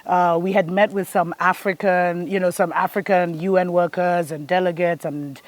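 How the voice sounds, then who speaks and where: A woman's voice: on the low side, steady tone, moderate pace, no room sound. A woman, hosting a spiritual show and giving an earnest, preachy monologue that speaks to her listeners as family. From Kenya.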